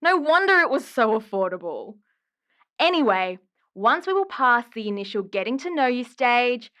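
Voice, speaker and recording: High-pitched, dramatic, exasperated woman caller. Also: fairly bright tone. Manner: dramatic, chatty, aggrieved. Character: exasperated, dramatic woman caller griping about her ghost ex. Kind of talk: audio drama phone-in acting.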